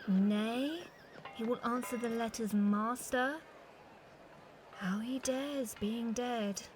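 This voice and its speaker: A woman acting a loyal, sensible young companion with a fairly high female voice. The delivery is very slow and measured, over a faintly noisy home recording. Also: fairly even intonation. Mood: wry concern. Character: level-headed Benvolio, a loyal young friend. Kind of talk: Shakespeare audio drama acting. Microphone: phone.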